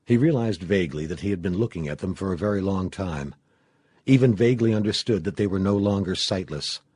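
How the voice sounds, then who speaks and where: A man's voice: low voice, lively intonation, fairly quick, a little mellow, husky. A man narrating a suspenseful story from a script as an audiobook reader.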